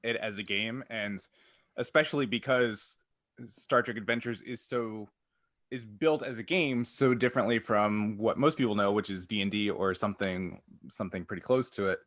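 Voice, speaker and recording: A man's voice: fairly low pitch, normal speed, fairly bright tone, dry recording, studio quality. A man co-hosting a podcast, explaining things in a casual, conversational way. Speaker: podcast host.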